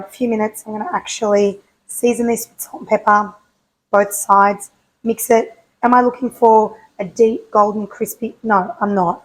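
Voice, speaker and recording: A woman with a croaky voice hosting a home cooking show, chatting casually and answering her own questions as she cooks. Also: on the high side, rough recording. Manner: chatty, asks herself questions and answers them.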